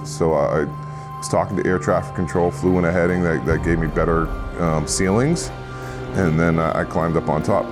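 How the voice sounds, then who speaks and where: Very deep-voiced man recalling a flight. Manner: reflective, halting restarts. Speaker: private pilot. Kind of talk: interview recounting an event.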